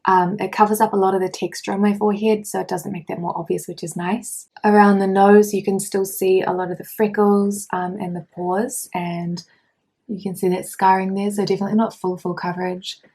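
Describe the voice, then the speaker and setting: A young adult woman reviewing a beauty product at home in a casual, chatty way, her voice lowered by illness. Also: little pitch movement, brisk, a little mellow, husky. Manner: chatty, frequent fillers. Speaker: beauty vlogger. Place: at home indoors.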